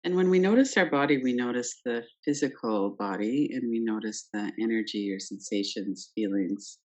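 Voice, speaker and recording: A woman teaching guided meditation, speaking calmly and gently in short phrases with pauses between them. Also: a bass voice. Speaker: yoga nidra meditation teacher. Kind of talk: guided meditation, unscripted instruction.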